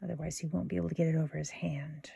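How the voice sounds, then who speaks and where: A woman hosting a casual step-by-step craft tutorial in an informal, unhurried way, thinking aloud as she works. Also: sits low, level tone, vocal fry, mellow, slightly rough, no echo.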